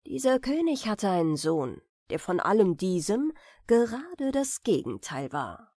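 A woman reading fairy tales as an audiobook narrator, with an expressive storytelling delivery. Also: mid-range voice, measured pace, no echo, studio quality. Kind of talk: bedtime fairy-tale reading, scripted narration.

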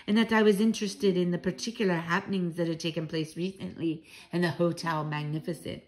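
A woman's voice: fairly low pitch, steady tone, fairly quick, a little mellow, pitched below the performer's own voice, natural voice, low-quality recording. Bold old granny in a storybook, woman acting her out, proud, conspiratorial, really emphatic. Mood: self-assured, proud. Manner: assured, emphatic, dramatic.

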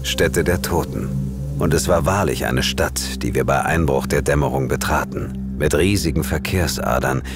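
A man playing a grave investigator hero who narrates his own hunt in an atmospheric, measured audio drama style. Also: rumbling low. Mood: grave, tense. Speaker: first-person narrator and lead actor. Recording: music behind the voice.

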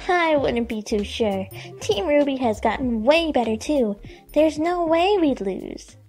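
A woman's voice: sits high, lively intonation, measured pace, a little mellow, soft background music. An actress playing a perky, cocky, playful teenage huntress girl in an audio drama. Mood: confident.

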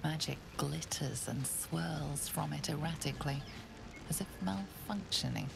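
A woman narrating a video game in scripted, hushed, measured tones. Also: sits low, creaky, heavily acted. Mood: ominous. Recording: game audio with ambient noise.

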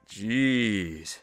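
A man's voice: fairly low pitch, fairly bright tone, dry recording. A man, an amateur voice actor, stumbling and exasperated with himself in retakes over music. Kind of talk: retaking a line, outtake muttering.

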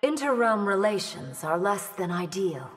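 A regal warrior woman in a fighting game. She is stern and formal, mid-pitched, echoing over clear noise. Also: sing-song, moderate pace, a bit bright. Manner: formal, serious. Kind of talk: video game character acting.

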